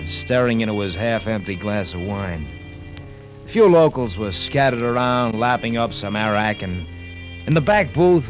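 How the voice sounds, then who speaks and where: A folksy American barkeep sidekick in old radio drama, with a mid-range voice that swings widely in pitch. He is chatty and excitable, often hesitant, a nervous and loyal pal. Music plays behind him. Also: poor audio. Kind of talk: 1950s radio drama acting and narration.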